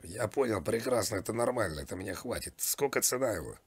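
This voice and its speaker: A man making a deadpan prank call as a demanding customer, nagging and repeating himself in a pushy way. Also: fairly low pitch, a little fast, breathy edge, dry recording. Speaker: prank caller.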